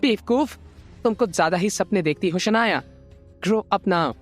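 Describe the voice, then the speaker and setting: Audio drama villainess, played by a woman: young, taunting, sneering, middle-pitched, light music bed. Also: unhurried, lightly acted. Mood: scornful. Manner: mocking, sneering. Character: spiteful, taunting young woman, haughty family schemer. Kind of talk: Hindi audio drama acting.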